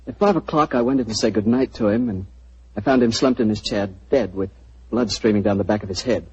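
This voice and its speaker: A man's voice: lowish voice, fairly quick, a little mellow, breathy edge. An actor playing an earnest, anxious young man under arrest in a 1940s radio drama. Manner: earnest, pleading. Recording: muffled old radio recording.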